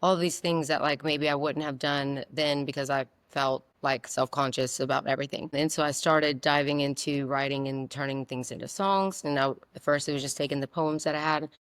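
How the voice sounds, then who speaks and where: Raspy woman, flat delivery. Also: sits low, normal speed, hardly any pauses, dry recording. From South Louisiana. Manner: open, rambling, many fillers. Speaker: singer-songwriter guest. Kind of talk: casual interview answers.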